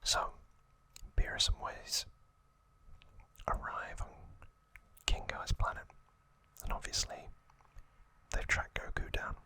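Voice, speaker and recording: A man hosts an ASMR recap in a steady whisper. He goes very slowly, phrase by phrase, leaving pauses between them. The whispered sound is bright and sharp. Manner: hushed, deliberate, short phrases with pauses. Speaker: ASMR host.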